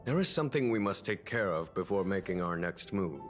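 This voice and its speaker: Low-voiced, weary general, patient and wise. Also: male voice, expressive, music in the background. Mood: patient, firm. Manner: measured, deliberate, formal. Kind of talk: scripted video game voice acting.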